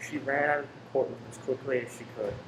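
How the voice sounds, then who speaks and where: Male narrator, mid-pitched, dramatic scripted delivery, distant from the mic in a noisy room. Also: steady tone, quick pace. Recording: distant mic, echoey room, clear noise.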